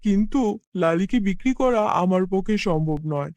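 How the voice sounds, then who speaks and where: A man plays a poor cartoon farmer with a very high voice, close and dry. He is kind-hearted and earnest, exclaiming over the cow he loves like family. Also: lively intonation, moderate pace, a little mellow, dry recording. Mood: tender, troubled. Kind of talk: cartoon fairy-tale voice acting.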